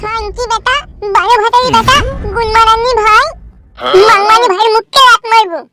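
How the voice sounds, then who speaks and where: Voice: very high-pitched, fairly even intonation, moderate pace. An actor voicing an angry, foul-mouthed little boy in a cartoon comedy, brash and scolding. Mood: angry, threatening. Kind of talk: Bengali cartoon comedy dialogue. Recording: loud music under the voice. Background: loud music.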